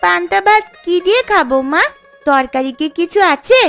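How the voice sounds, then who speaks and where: A woman's voice: very high-pitched, expressive, moderate pace, creaky, fairly bright tone, full character voice. A woman voicing a hungry little village daughter in a cartoon story, pleading and childlike. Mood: whiny, hungry. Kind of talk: Bengali cartoon story acting. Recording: music behind the voice. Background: background music.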